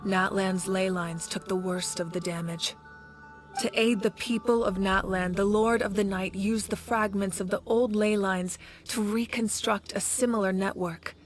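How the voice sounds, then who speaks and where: A woman's voice: medium pitch, lightly acted. A woman playing a resolute, dutiful leader of a fantasy nation in a video game, firm and earnest. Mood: earnest, explanatory. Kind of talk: scripted video game voice acting.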